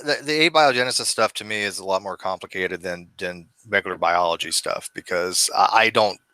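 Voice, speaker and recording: A man's voice: deep voice, a little fast, bright, forceful tone, clean tone, no room sound. A man in his forties hosting a casual video-call discussion from a dim room at home, talking with many stammered restarts. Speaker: host. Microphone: webcam video call.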